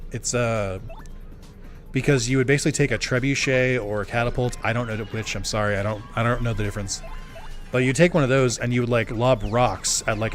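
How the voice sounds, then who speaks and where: A young man streaming a game live, chatting loosely with many fillers while his voice starts to go hoarse. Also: medium pitch. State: voice going hoarse. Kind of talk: casual live-stream chat while gaming.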